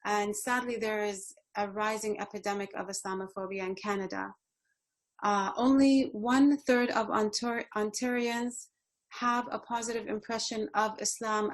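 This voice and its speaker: A woman giving a prepared presentation at a panel in an earnest, measured way. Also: mid-range voice. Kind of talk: prepared presentation, read speech.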